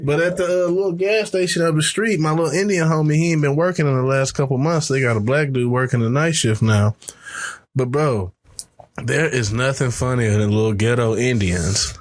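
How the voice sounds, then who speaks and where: A man's voice: medium pitch, normal speed. A young man hosting a podcast, chatting casually and laughing as he tells stories and mimics people. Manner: laughing storyteller doing voices of people he quotes. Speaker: podcast host. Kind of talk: casual chat.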